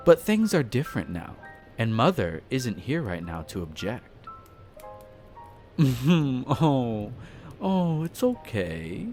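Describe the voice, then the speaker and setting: A man playing a possessive, flirtatious vampire master in a roleplay audio drama, speaking formally and condescendingly with theatrical pauses. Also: medium pitch. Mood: savoring, possessive, then mock-soothing. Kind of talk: scripted roleplay audio drama, addressing the listener. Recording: music behind the voice. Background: background music.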